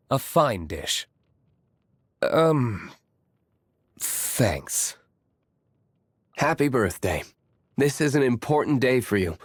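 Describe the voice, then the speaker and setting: An actor playing an aloof, brooding young nobleman warrior in a video game, reserved and formal, speaking in measured, curt lines. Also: mid-range voice, no room sound. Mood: solemn, sincere.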